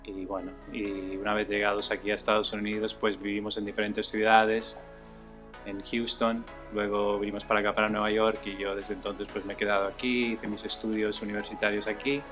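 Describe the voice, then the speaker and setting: A man in his forties, from Spain, talking about his painting in a relaxed, thoughtful way with many fillers. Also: lowish voice, fairly quick, soft background music. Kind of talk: casual documentary interview.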